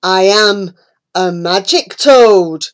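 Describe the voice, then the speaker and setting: A woman voicing a calm, self-assured male magic toad in a storybook reading, speaking coolly and lower than her narration. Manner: cool, unhurried, self-assured.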